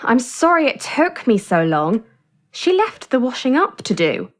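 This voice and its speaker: An actress in an audio drama playing a kindly, brisk female carer, practical and gently firm. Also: a little high, sing-song. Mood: kindly, hurried. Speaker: actor.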